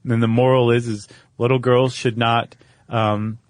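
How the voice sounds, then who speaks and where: A man's voice: medium pitch, level tone, moderate pace, dry recording, studio quality. A man co-hosting a podcast, telling stories in a casual, wry, joking way. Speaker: podcast co-host. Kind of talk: casual conversational storytelling.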